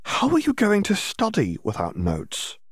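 Studious, bossy teen girl read by a man, earnest and insistent, lifted pitch, storybook narration. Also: huge pitch swings, measured pace, breathy edge, no echo, studio quality, exaggerated voice. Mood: disbelieving.

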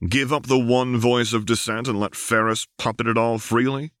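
A man's voice: medium pitch, little pitch movement, normal speed, fairly bright tone, smooth, no room sound, clean studio sound, pitched above the performer's own voice, natural voice. A man playing a weary, anguished man of about forty, earnest and defensive, in an audiobook story. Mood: defensive, frustrated.

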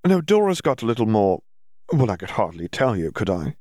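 A man's voice: lowish voice, big pitch swings, fairly quick, dark tone, no room sound, studio quality. A man voicing a sly, scoffing teenage schoolboy with a guarded, teasing manner in an audiobook story.

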